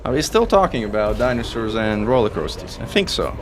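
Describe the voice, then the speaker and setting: A man from Italy whose first language is Italian, giving excitable live commentary as he plays, talking through each move. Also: medium pitch, fairly quick, background noise. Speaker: streamer and commentator.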